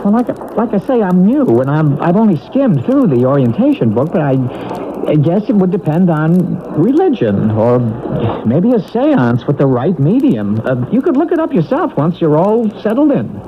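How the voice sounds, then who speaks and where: An actor playing an apologetic novice guide to the afterlife in a comic radio drama, stammering, dithering and easily muddled. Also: male voice, sits high, huge pitch swings, normal speed. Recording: muffled, noisy vintage radio broadcast.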